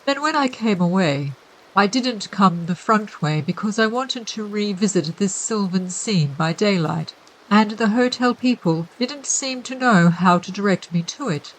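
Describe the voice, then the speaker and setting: A polite, proper creature made of painted paper, now alive, voiced by a woman narrator. His voice is nasal as if he had a bad cold, and his R's come out oddly. He speaks slowly and formally, like a gentleman at a garden party. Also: mid-range voice, expressive, low-quality recording. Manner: odd R's, formal and courteous. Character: respectable male Ugly Wuggly, polite paper man come alive.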